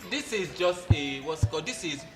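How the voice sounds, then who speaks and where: A man's voice: a little high, lively intonation, brisk. A man co-hosting a casual office chat show, joking and mocking as he talks. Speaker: co-host. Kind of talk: casual banter. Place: office studio. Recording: echoey room with loud music behind.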